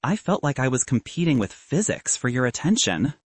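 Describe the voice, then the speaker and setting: A man's voice: mid-range voice, big pitch swings, a little fast, husky, dry recording. A man voicing an apologetic young ex-girlfriend in an audiobook, explaining herself earnestly. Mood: earnest, pleading. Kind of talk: audiobook character dialogue.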